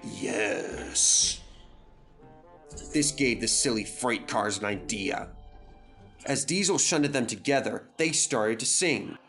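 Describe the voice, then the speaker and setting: A man's voice: mid-range voice, expressive, measured pace, fairly bright tone, soft background music. A man narrating a scripted children's story as a dramatic storyteller.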